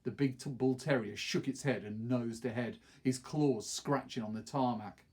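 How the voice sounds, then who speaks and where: Middle aged guy reading a book out loud, kinda raspy voice, expressive storytelling. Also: medium pitch, normal speed. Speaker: teacher reading a novel aloud. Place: small wood-panelled study, indoors.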